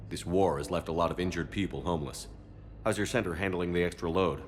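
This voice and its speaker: A man playing a steady, supportive military commander in a video game, direct and clipped in his phrasing. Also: deep voice, fast talker, slight background noise, lightly acted. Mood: supportive, businesslike.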